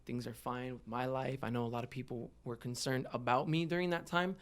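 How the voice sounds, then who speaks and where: A man's voice: mid-range voice, fairly even intonation, fairly quick. A man in his twenties speaking candidly and earnestly as a guest in a conversational interview. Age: 20s. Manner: earnest, heartfelt. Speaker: podcast guest, rapper.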